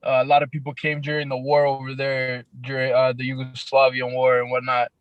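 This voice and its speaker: A raspy young man with a Toronto accent, a rapper guest talking in a laid-back, halting way with many fillers. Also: mid-range voice, completely flat delivery, dull audio. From Canada, grew up in Toronto. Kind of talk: casual podcast interview.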